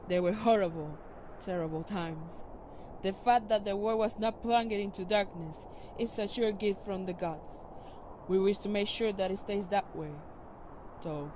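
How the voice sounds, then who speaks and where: A courtly elf warrior maiden, acted by a woman, speaks at a medium female pitch. She is earnest, dutiful and measured, in formal fantasy roleplay style. Faint noise runs behind the voice. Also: close to an everyday voice. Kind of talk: fantasy audio roleplay acting.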